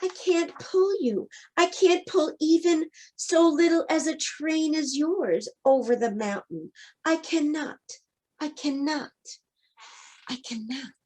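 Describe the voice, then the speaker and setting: A weary old rusty engine from a storybook, acted out by a woman reader. He sighs and drags his words slowly, sounding defeated and worn out, in a voice pitched high for such an old machine. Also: a little mellow. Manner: sighing, drawn-out, defeated.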